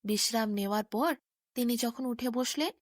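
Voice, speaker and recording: A woman storyteller reading a scripted bedtime fairy tale with expressive, clear phrasing. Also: fairly high pitch, moderate pace, no room sound, studio quality.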